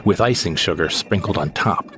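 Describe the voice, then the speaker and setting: Deep-voiced man narrating a story. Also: normal speed. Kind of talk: first-person storytelling narration.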